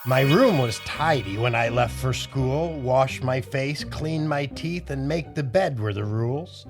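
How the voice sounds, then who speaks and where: A man's voice: mid-range voice, measured pace, soft background music. An older man hosting a children's storytime show, telling jokes and reading aloud in a playful, theatrical way. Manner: playful, exaggerated, theatrical delivery. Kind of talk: animated kids' show hosting, joke telling and read-aloud.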